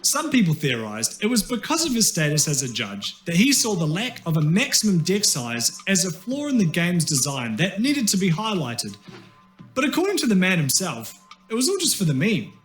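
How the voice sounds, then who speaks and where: Kiwi guy doing jokey scripted voiceover, high pitched voice, really animated, bit of music under it. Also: normal speed. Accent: New Zealand. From New Zealand. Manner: wry, tongue-in-cheek. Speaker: video essayist. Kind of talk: scripted comedic narration.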